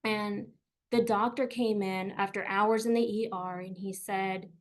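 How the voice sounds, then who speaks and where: A woman's voice: medium pitch, fairly even intonation, measured pace. A middle-aged woman from South Texas whose first language is Spanish, presenting a career webinar in a personal, encouraging way, often with fillers and repeated words. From South Texas, USA.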